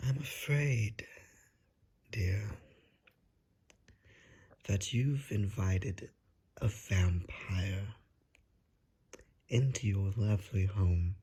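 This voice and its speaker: Man as a husky, whispering, suave vampire. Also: lowish voice, warm tone, full character voice. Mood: silky, coaxing. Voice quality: breathy, husky, half-whispered. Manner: courtly, drawn-out, seductive menace. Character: suave, predatory vampire, coaxing and darkly polite. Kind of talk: ASMR roleplay, slow and whispery.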